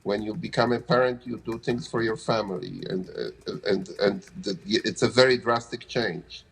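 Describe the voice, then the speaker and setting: Man, Polish accent, husky, lowish voice, middle-aged, halting restarts. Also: steady tone, rough recording. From Poland. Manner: frequent restarts and "you know". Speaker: interviewee, anesthesia provider. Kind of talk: casual interview answers.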